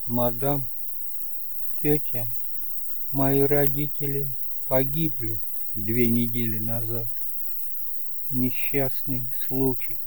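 A man's voice: medium pitch, level tone, takes it slowly, pauses often, dark tone, no echo, close to an everyday voice, rough recording. A man playing an earnest nineteen-year-old nephew, shy but proud, with a hesitant, courteous manner. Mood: hesitant, subdued.

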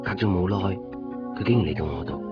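A man's voice: deep voice, fast talker, close to an everyday voice. A man playing a weary, lonely shopkeeper who narrates a radio drama in a colloquial, brooding way, with a Hong Kong Cantonese accent. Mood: uneasy, reflective. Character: weary, lonely male copy-shop keeper. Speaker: lead actor and first-person narrator. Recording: dull lo-fi radio recording, music behind.

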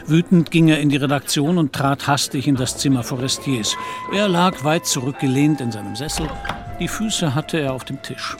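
A man's voice: a little high, normal speed. Male narrator over music. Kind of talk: scripted literary radio play narration.

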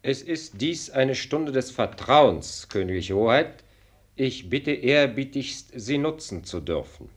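A man's voice: medium pitch, expressive, a little slow. An actor playing an elderly, fatherly minister of state in a radio play, speaking with ceremonious, diplomatic deference. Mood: deferential. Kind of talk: German radio play acting.

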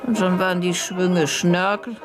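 Actress as old grandma, slow and wistful, bit bitter, radio play, loud brass music behind. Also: female voice, lowish voice, steady tone, natural voice. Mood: pensive. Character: pensive, disillusioned old grandmother looking back on girlhood. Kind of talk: German radio drama acting.

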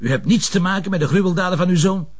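An actor playing a stern, middle-aged police commissioner in a crime drama, with a Flemish accent and probing, incredulous questioning. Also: male voice, sits high, fast talker, husky. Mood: stern, exasperated. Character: stern, indignant male police commissioner interrogating suspects. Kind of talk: television crime drama acting.

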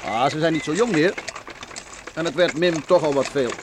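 Casting: male actor for young rural cart driver in radio play, folksy and teasing, slightly high-pitched voice. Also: normal speed, background noise, lightly acted. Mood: jovial. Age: young adult. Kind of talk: Dutch radio play acting.

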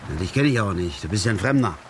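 Man playing old angler, brooding and fearful, rambling, insistent, very lively pitch, radio drama, noisy background. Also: quick pace, close to an everyday voice. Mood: confiding, troubled. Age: older. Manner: rambling, insistent, self-repeating. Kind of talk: naturalistic German radio drama acting.

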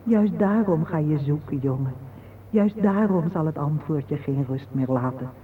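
A man acting a sorrowful, patient parent in a radio drama, speaking in a solemn, poetic, measured way. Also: fairly high pitch, a crowd in the background, lightly acted. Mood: solemn urging. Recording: narrow phone-like old radio recording, faint hiss.

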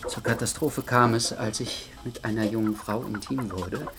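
A man's voice: lowish voice, fairly even intonation, moderate pace, vocal fry. A man acting in a German radio play as a formal, officious investigator with an anxious streak. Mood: confiding, uneasy. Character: fussy, anxious male aviation authority investigator haunted by Brahms.

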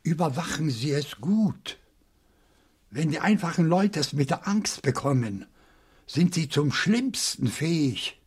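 A man's voice: fairly high pitch, lively intonation, measured pace, a little mellow, slightly rough, heavily acted. An actor playing a dignified, wary professor in his seventies, speaking formal, articulate German radio-drama lines. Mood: indignant, dignified. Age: 70s. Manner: articulate, formal, indignant pride. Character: dignified, wary seventy-year-old French professor living among books.

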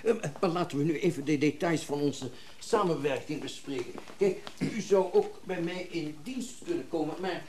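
Guy acting rich collector in a radio play, mid-to-high male voice, brisk, bossy, keen, slightly noisy recording. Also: darkish tone, natural voice. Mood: eager, commanding. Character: wealthy businessman collector, eager, self-important, generous. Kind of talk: Dutch radio drama acting.

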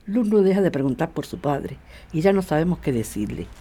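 A woman acts as a worn-down grandmother in a radio play. Her voice is low, dark and husky. She speaks with weary, anxious worry. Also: big pitch swings, a little fast. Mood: weary, worried. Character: worn-down, worried working grandmother facing housing trouble. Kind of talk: acted radio drama dialogue.

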